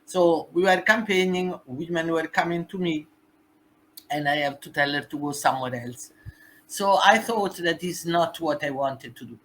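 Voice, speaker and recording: A woman's voice: sits low, moderate pace, fairly bright tone, slight background noise. A woman from Italy with an Italian accent, speaking English as a second language with emphatic, non-native phrasing as a guest in a podcast interview. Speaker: guest, human rights activist.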